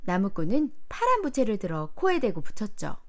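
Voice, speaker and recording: A woman reads a picture book aloud for young children. Her mid-pitched voice moves with very lively intonation, and her storytelling is expressive and careful. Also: a little fast. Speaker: storybook narrator. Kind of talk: animated children's storybook reading.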